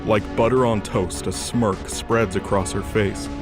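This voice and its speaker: A man with a low voice narrates a scripted, dramatic story as an audio drama. Music plays prominently underneath him. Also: steady tone, a little slow.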